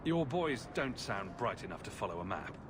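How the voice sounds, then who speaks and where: A man's voice: fairly low pitch, sing-song, moderate pace, background noise. An actor playing a flippant, sardonic male adventurer in a video game.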